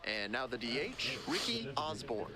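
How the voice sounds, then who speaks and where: A man's voice: medium pitch, normal speed, over a noisy crowd, lightly acted. A man voicing a male baseball play-by-play announcer in polished broadcast commentary. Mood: steady play-by-play. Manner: polished, lively announcer delivery. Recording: loud background game noise.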